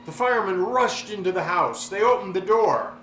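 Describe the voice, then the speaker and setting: A man reading a children's storybook aloud with expressive, dramatic delivery and drawn-out words. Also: high voice. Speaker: story reader. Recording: roomy echo, clear background noise.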